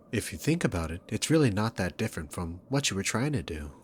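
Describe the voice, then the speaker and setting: A man's voice: lowish voice, very animated, brisk, slight background noise. A man playing a dominant, sarcastic demon king villain in a scripted ASMR roleplay, with smug, teasing, theatrical phrasing. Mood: amused, coaxing.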